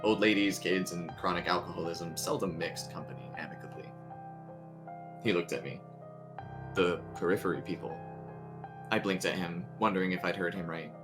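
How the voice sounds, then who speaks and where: A man's voice: low voice, brisk. A man reading a creepy story aloud as its narrator, with music playing underneath. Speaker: story reader.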